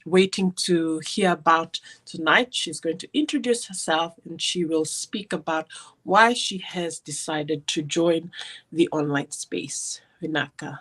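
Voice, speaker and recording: A woman from Fiji hosting a live online business stream, chatting casually with many fillers and restarts. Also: fairly low pitch.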